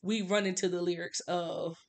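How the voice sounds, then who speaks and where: A woman's voice: on the low side, fairly even intonation, normal speed. A woman co-hosting a podcast, talking through a book in a casual, candid way and often repeating words for emphasis. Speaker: podcast co-host.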